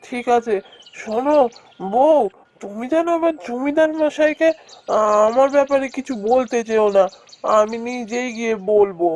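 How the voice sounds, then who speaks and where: Ailing cartoon village labourer, played by a woman: higher-pitched, evasive, weary and pleading. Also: normal speed, a little mellow, muffled recording, natural voice. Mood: anxious pleading. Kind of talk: cartoon voice acting.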